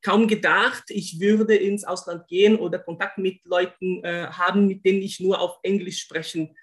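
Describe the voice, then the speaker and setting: Man speaking German, high voice. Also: fairly even intonation, moderate pace, a bit bright. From Brazil. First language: Portuguese. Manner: thoughtful, searching for words, frequent restarts. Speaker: guest, linguist and German teacher. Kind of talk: conversational interview answer.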